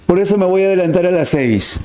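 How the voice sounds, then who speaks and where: A male math teacher explaining a problem step by step, asking rhetorical questions and stressing key words with big swings in pitch. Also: expressive, fast talker, a little mellow. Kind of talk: recorded online class.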